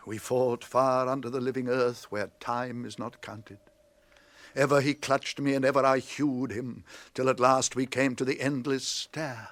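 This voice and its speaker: An actor playing a solemn, commanding old wizard in a radio drama, with grand declamatory delivery. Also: male voice, medium pitch, a bit bright, no room sound. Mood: solemn, grave.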